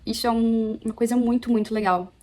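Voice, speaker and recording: A young woman from Brazil, chatting casually at home as she reviews a book, animated and often correcting herself. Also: fairly high pitch, brisk. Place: apartment at home.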